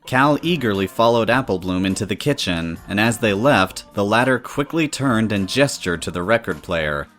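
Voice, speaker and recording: A man's voice: fairly low pitch, lively intonation, moderate pace, hardly any pauses, fairly bright tone, faint music behind. A man reading scripted story narration in the third person, as the narrator of an audio drama.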